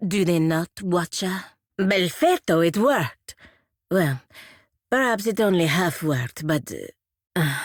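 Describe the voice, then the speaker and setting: A woman's voice: sits low, no echo. A woman playing a stern, proud feathered warrior in a fantasy video game, speaking formally and measuredly with dry sarcasm. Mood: sardonic, then wry, uneasy. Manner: formal, measured, dryly sardonic, avoids contractions. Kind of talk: scripted video game companion voice lines.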